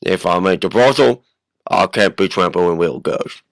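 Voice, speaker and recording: Radio play villain male actor: brutish, curt, evasive, rough grammar, creaky voice. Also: sits low, normal speed, no room sound, clean studio sound. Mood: defiant. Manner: blunt, ungrammatical, repeats denials.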